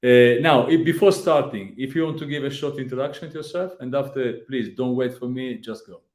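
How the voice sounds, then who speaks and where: A man hosting a live online pitch event, thanking founders with friendly bursts of speech. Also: fairly quick, darkish tone. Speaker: event host. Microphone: video call. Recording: echoey room on a call.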